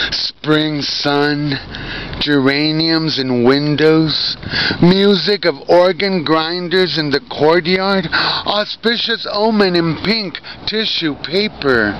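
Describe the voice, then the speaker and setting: Very slow-reading man, noisy recording. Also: a little high. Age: middle-aged. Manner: deliberate, theatrical delivery with short, separated phrases. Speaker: poet and performance artist. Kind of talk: dramatic poetry reading. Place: outdoors by bare trees. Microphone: camera held very close at arm's length. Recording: dull lo-fi outdoor recording, loud ambient noise.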